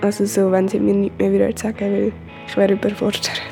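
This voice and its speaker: Mellow-voiced teenage Swiss German girl. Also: medium pitch, completely flat delivery, a little fast, gravelly, soft background music. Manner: tentative, unsure. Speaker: interviewee. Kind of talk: casual interview talk.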